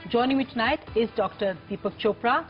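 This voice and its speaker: Crisp woman TV show host. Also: fairly high pitch, animated, fairly quick, faint music behind. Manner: crisp, scripted introductions. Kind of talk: hosting a call-in interview show. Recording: dull lo-fi TV broadcast sound.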